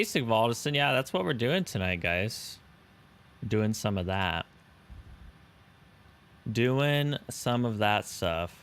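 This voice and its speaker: Slow, smooth low-voiced male streamer. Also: a bit bright. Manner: chatty, answers viewers' chat and thanks subscribers. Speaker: live streamer. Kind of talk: casual live-stream chat while flying a simulator.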